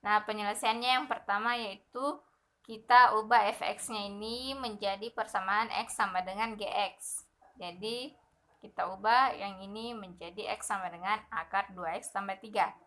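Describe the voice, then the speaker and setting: A young woman explaining a numerical methods lesson over slides, steady and methodical, often pausing with fillers. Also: medium pitch. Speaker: math lecturer.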